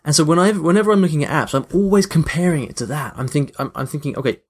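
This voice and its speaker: A man's voice: on the high side, quick pace, slightly rough. A man hosting a podcast in a casual, chatty monologue, reflective and emphatic on key points. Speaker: podcast host.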